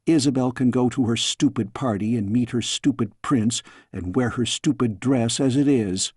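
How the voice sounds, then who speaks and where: A man's voice: medium pitch, normal speed, darkish tone, slightly rough, no echo, studio quality, lightly acted. A man voicing a dramatic, petulant girl princess who plays at being an evil witch, in a storybook reading. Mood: sulky. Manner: crying out spells, muttering crossly.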